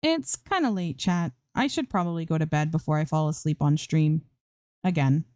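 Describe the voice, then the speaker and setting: A woman's voice: low-pitched, moderate pace, darkish tone, no echo, clean studio sound, close to an everyday voice. A woman voicing a bubbly, anxious young female streamer in a story reading, chirpy and a little scattered. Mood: cheerful, teasing.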